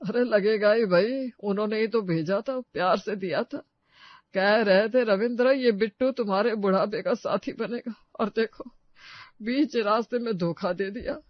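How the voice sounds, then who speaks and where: Casting: man playing older family patriarch, notably high voice, earnest and grieving, cartoon moral tale, dry slightly dull recording. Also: normal speed, no echo, lightly acted. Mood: aggrieved. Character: grieving, placating elderly family father mourning his Bittu. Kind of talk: Hindi moral story cartoon voice acting.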